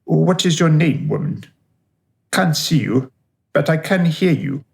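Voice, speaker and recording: An actor as a dead serial killer in a radio drama. His highish voice is gravelly and very slow, swinging widely in pitch. He sounds boastful, sneering and theatrically menacing. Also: very animated, full character voice. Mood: wary, sneering.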